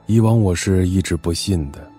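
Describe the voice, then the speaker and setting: A man playing a weary, tender aging father and high minister in an audio drama, grave and reflective. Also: moderate pace, warm tone, close to an everyday voice. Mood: warm, resigned. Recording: music under the voice.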